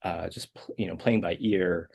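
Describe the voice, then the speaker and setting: A man, a composer answering interview questions in a soft, hesitant way with many fillers. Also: deep voice, fairly even intonation, normal speed, gravelly, husky. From South Korea. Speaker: guest composer.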